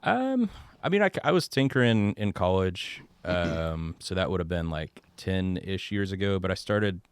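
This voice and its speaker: A man in his thirties from the Dallas suburbs with a low voice, a singer-songwriter guest chatting easily and poking fun at himself. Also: very animated, moderate pace, smooth, no echo. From suburbs of Dallas, Texas. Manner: easygoing, self-deprecating, many fillers. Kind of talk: casual conversation.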